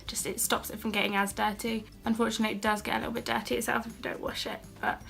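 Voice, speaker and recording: A young British woman with a cold and a slightly croaky voice, chatting casually and self-deprecatingly as she shows a shopping haul on her channel. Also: a little high, flat delivery, quick pace, fairly bright tone, soft background music. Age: young adult. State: has a cold, a bit croaky. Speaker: beauty and haul vlogger. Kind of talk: casual haul vlog chat.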